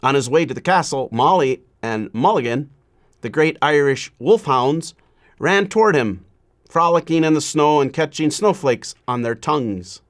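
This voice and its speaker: A man reading a children's story aloud in a measured, deliberate way, pausing often between phrases. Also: a little high, expressive.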